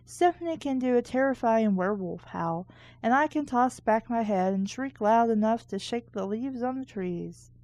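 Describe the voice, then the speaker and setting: A woman's voice: a little high, lively intonation, normal speed, darkish tone, no room sound. A woman hosting a book reading show, reading aloud casually and breaking off for sarcastic, critical asides.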